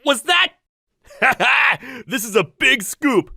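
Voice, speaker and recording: A woman voicing a scheming, excitable male paparazzo in a manga dub, loud and given to yelping. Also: high-pitched, extremely slow, vocal fry, breathy edge, no echo, clean studio sound, full character voice. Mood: gloating. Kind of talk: exaggerated manga dub acting.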